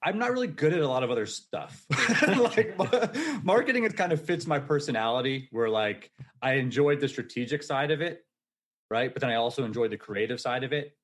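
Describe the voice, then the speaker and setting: A forty-year-old man speaking as a podcast guest. He talks in an energetic, casual storytelling way and laughs often as he tells his story. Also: mid-range voice, brisk. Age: 40s.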